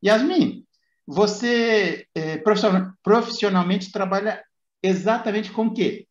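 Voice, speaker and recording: A man's voice: high-pitched, a little slow. A man hosting a podcast interview, chatty and meandering in a casual way. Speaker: podcast host. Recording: roomy, dull lo-fi sound.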